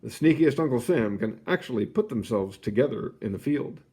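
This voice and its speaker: Man, slightly rough husky voice, mid-pitched, read-aloud host, clearly phrased children's nonfiction, casual asides. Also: animated, a little fast, mellow.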